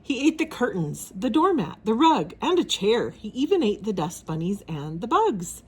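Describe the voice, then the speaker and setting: A woman narrates a children's storybook with plenty of drama. Her pitch moves widely from phrase to phrase, and her voice has a husky grain. The delivery is expressive throughout. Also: moderate pace.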